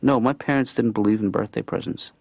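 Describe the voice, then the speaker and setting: A man voicing an earnest, defensive middle-aged father in a cartoon, speaking haltingly in a conversational, improvised style. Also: on the low side, gravelly, dry recording, highs cut off. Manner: halting, stammering, mild-mannered.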